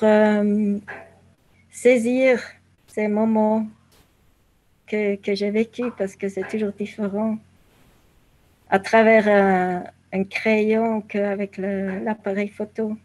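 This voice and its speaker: A woman speaking as an interview guest at a markedly slow pace, with a medium pitch. She answers reflectively, pausing often to search for the next word. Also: darkish tone, rough recording. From southern Africa (Zambia and Zimbabwe). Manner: halting, searching for words with long pauses.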